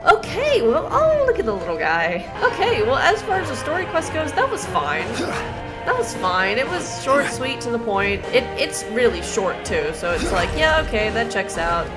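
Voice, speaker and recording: A woman's voice: a little high, huge pitch swings, moderate pace, loud music behind the voice, poor audio. A young woman giving casual, chatty game playthrough commentary, slangy and sweary. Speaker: gaming streamer.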